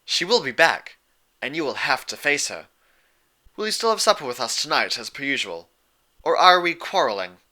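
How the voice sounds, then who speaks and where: Man voicing a proud pony moon princess, pitched high. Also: sing-song, normal speed, pushed and bright. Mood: curt, challenging. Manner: formal, archaic, challenging phrasing. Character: formal, proud, sharp-tongued pony moon princess, younger sister.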